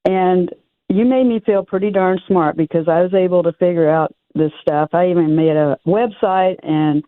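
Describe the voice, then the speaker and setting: A woman in her seventies calls in over a tinny phone line. Her voice sits very low, and she talks in a hesitant, folksy way with plenty of ums. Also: fairly even intonation, moderate pace, no room sound. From Oregon. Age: 70s. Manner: hesitant, self-deprecating, folksy. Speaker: caller. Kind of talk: phone-in question.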